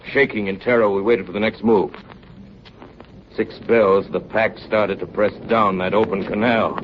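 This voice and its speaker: An actor playing a grave ship's officer narrating an Arctic expedition log in a measured, somber 1930s radio drama style. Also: male voice, fairly low pitch, fairly even intonation, a little hiss or hum, close to an everyday voice. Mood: grave, tense. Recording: dull 1930s radio broadcast with music.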